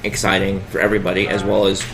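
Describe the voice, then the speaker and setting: A man's voice: low-pitched, completely flat delivery, fast talker, poor audio. A man speaking as a panelist, casual and opinionated in conversation. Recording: background crowd chatter.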